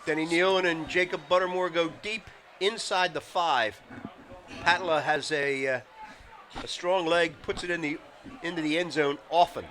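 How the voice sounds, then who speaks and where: A man's voice: a little high, fairly even intonation, moderate pace, bright, forceful tone. A man doing live football play-by-play as the game announcer, in clipped phrases that build on big plays. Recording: noisy outdoor game with crowd behind. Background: crowd and game noise.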